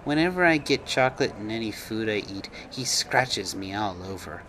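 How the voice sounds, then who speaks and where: A man's voice: on the low side, animated, normal speed, fairly bright tone, a little hiss or hum, low-quality recording. A man voicing a timid, earnest little boy in a storybook reading, with a hesitant, childlike manner. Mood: earnest, polite.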